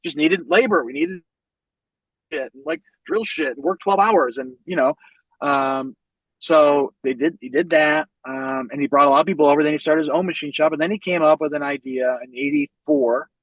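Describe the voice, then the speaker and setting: A man in his forties from the American Midwest, a podcast guest telling family stories in an animated, loose way, swearing freely and laughing as he talks. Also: a little high, normal speed, no echo, lo-fi sound. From United States, Northwest Indiana. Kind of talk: casual storytelling conversation.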